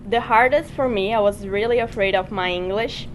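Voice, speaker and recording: Woman from Brazil, freshman panelist, earnest and simple, mid-pitched, faint rumble. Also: normal speed. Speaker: student panelist. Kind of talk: panel discussion answers.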